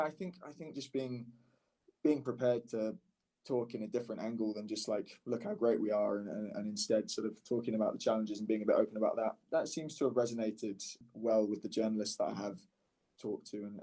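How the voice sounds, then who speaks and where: A man's voice: lowish voice, fairly even intonation, a little fast, warm tone, poor audio. A man speaking casually as a podcast guest and startup founder, candid and often rephrasing mid-sentence. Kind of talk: casual conversational podcast interview.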